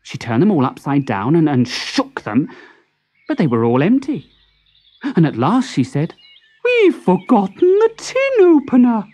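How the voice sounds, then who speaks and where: A woman reading a children's story expressively and deliberately, with dramatic pauses. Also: low voice, very animated, darkish tone, breathy edge.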